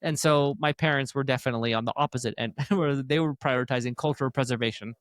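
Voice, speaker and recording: A man's voice: mid-range voice, quick pace, no room sound, clean studio sound. A man from Tucson, Arizona, speaking as a podcast guest who co-owns a dental practice, telling his story in long, loose, meandering chains of thought. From Tucson, Arizona, USA. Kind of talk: casual conversation.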